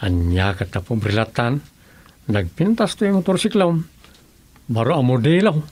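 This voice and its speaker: Actor as lively, easygoing village man. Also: medium pitch, moderate pace, lightly acted. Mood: friendly. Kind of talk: Ilocano radio drama acting, conversational.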